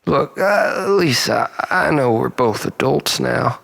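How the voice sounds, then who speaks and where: An actor playing a troubled, obsessive man pleading with his lost lover in a halting, rambling dramatic monologue, often dropping near a whisper. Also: medium pitch, lively intonation, vocal fry, a bit bright, heavily acted. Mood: giddy, hopeful. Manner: halting, rambling, nervous self-corrections and sighs.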